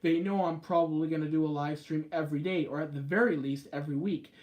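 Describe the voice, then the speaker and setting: Chatty man, slightly high voice. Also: fairly even intonation, quick pace, darkish tone. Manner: chatty, rambling, with sudden upward pitch jumps on stressed words. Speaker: YouTube live-stream host. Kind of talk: casual live-stream chat answering viewer comments.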